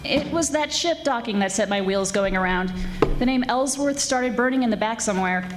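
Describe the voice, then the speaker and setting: A woman's voice: on the high side, a little hiss or hum, natural voice. Woman playing a wry 1940s radio lady detective. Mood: explanatory. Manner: wisecracking, brisk hardboiled narration. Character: witty, tough female private detective narrating her case.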